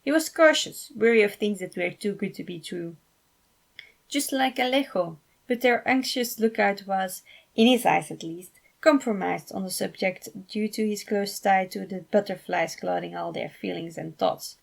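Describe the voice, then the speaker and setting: A woman narrating a fan fiction story in an expressive amateur reading style. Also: medium pitch, moderate pace, creaky.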